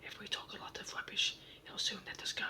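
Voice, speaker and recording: A reader whispering the part of an eager, quick-thinking male brownie in a storybook. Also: sharp tone, recorded from a distance.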